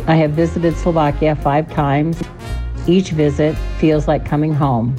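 A woman's voice: low voice, fairly even intonation, unhurried, mellow, faint music behind. A woman from Alaska giving a prepared first-person account of her own life as a radio contributor. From Alaska, United States. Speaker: listener sharing her story.

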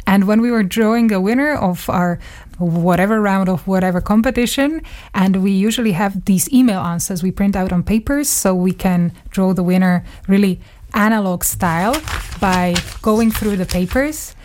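A woman speaks as a guest in a radio interview. Her voice sits at a medium pitch. She phrases her memories thoughtfully, pausing as she searches for words. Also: a little mellow. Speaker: radio journalist, studio guest. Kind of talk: reminiscing in a studio interview.

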